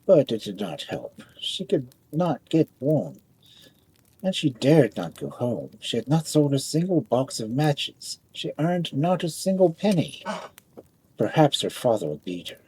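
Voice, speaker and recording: A man reading a fairy tale aloud as a storyteller, dramatic and expressive, drawing out phrases for effect. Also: mid-range voice, unhurried, a little hiss or hum, low-quality recording.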